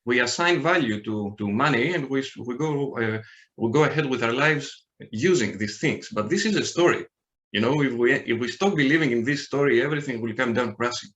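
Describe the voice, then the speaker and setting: A man's voice: medium pitch, brisk, fairly bright tone, highs cut off. A man from Greece with a Greek accent, a podcast guest talking in a hesitant way with many fillers and restarts. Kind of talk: casual podcast chat.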